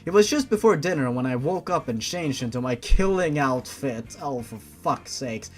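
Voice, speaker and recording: A man's voice: medium pitch, lively intonation, moderate pace, soft background music. A man reading a story aloud as host, theatrical and sarcastic, with sweary mocking asides.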